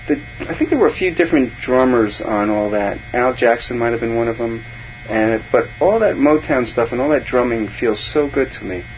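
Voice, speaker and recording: An older man who chats easily about drumming and answers with quick murmurs of agreement. His voice sits at a middling pitch, and the recording is muffled with a faint hum behind it. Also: lively intonation. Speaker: drummer, guest. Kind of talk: casual music chat.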